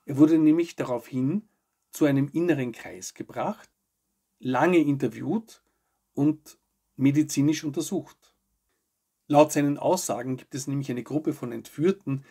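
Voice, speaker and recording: A man, presenting scripted news commentary to camera in a measured, articulate way. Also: mid-range voice, creaky, warm tone, breathy edge. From Austria. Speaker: host of a weekly news video show.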